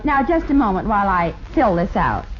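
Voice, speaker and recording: A woman playing a brisk, officious admitting nurse in an old radio comedy. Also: medium pitch, very animated, close to an everyday voice. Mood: businesslike. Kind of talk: 1950s radio comedy acting. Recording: hissy, muffled 1950s radio recording.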